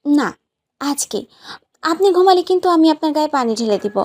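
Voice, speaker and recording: A woman's voice: high voice, animated, normal speed, a little mellow. A woman playing a playful, sweetly bossy young new bride in a romantic audio story, with a pouty, teasing, insistent manner.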